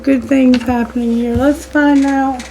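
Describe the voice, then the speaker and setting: A woman's voice: sits high, little pitch movement, measured pace, warm tone, slight background noise, low-quality recording. A woman giving a tarot reading on her channel, whose voice is strained and fading. She speaks straight to her listeners as a collective, using spiritual wording and prayer. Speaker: tarot reader.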